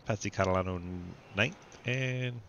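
A man's voice: mid-range voice, measured pace, a bit bright, highs cut off, slight background noise. A man calling a sim race with playful banter, stuffy with a cold and laughing often. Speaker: sim racing commentator. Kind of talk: live race commentary and banter.

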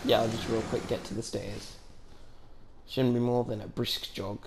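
Guy doing sarcastic casual let's play, creaky voice, loud game noise behind. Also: on the low side, level tone, normal speed. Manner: dry, sarcastic, joking. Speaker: gaming commentator. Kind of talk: casual gameplay commentary.

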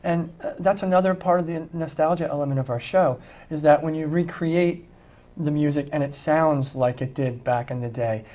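A man's voice: fairly high pitch, moderate pace, warm tone. A middle-aged man, a musician talking conversationally as an interview guest. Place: small TV studio, indoors.

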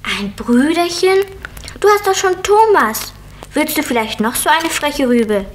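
Small girl, about four, high voice, bossy and inquisitive, vintage kids' audio drama. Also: expressive, unhurried, slight background noise. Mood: eager, curious. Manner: inquisitive, insistent. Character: curious, bossy four-year-old girl Julia. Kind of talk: 1970s German children's radio play acting.

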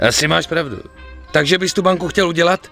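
A male actor in a dubbed video game, playing an excitable, greedy gangster sidekick who swears and wheedles. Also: on the high side, gravelly, faint music behind. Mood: eager.

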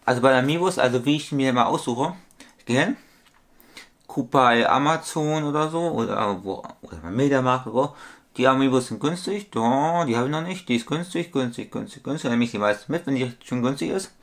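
Thirties man, at-home webcam vlogger, rambling and repetitive, average pitch. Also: animated, a little fast. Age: 30s. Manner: rambling, repetitive, corrects his own sums aloud. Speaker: toy collector vlogger. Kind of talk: casual vlog monologue. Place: small room at home with shelves.

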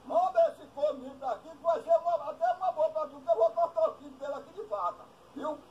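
A man playing a cranky, foul-mouthed old backcountry man who turns indignant and insulting fast, recorded outdoors at a rural house. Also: muffled recording, a little hiss or hum. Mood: cursing. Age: older. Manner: shrill, insulting, quick to anger. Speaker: comic character.

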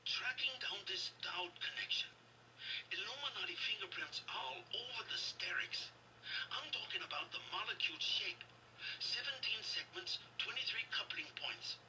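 An actor playing a serious, knowing technical expert giving a measured radio briefing in a video game. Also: male voice, high-pitched, expressive, pushed and bright, exaggerated voice. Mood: intent, serious. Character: serious, knowing male tech expert and ally. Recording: distant, noisy game audio off a screen.

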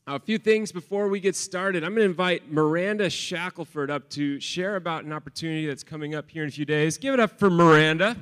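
Campus pastor guy welcoming chapel, smooth kinda high voice, big hall reverb. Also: fairly quick, few pauses, a bit bright. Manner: friendly, loose, with fillers. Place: large auditorium stage.

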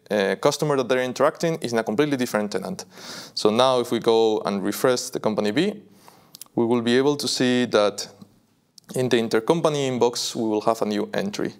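Young man demonstrating software. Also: medium pitch, smooth. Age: young adult. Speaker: software product presenter. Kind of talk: live software demo walkthrough. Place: indoor video studio.

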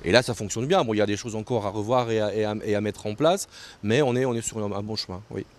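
Low-pitched older man, halting delivery. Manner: hesitant, halting phrasing with repeated words. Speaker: local mayor and tourism official. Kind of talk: spontaneous interview answers. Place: outdoors on a terrace above a town street. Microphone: handheld interview microphone held close. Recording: clear outdoor street noise.

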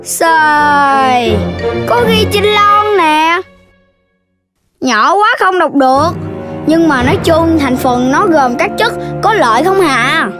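A woman's voice: sits high, animated, takes it slowly. A woman voicing a boastful, lazy young animal child in a children's cartoon, speaking with a Southern Vietnamese accent in a cocky, exaggerated way. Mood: indignant boasting. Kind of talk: children's cartoon dub acting. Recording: music behind the voice.

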